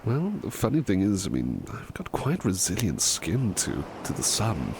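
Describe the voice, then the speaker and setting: A man acts as a tender, courteous butler in an ASMR roleplay, with a deep voice that moves expressively between soft murmurs and whispers. He takes his time, soothing and attentive, repeating himself gently. Loud, steady ambient noise runs behind him. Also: normal speed, gravelly, full character voice. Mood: polite, bashful. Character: devoted, tender, polite male butler servant.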